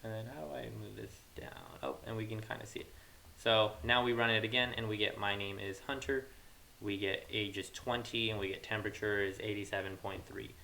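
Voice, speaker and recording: A man's voice: on the low side, fairly even intonation, brisk, vocal fry, few pauses, bright, forceful tone, low-quality recording. A young man giving a casual coding tutorial, informally thinking aloud as he works. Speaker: programming tutorial host. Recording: hissy mic with keyboard clatter. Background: computer keyboard typing, hiss.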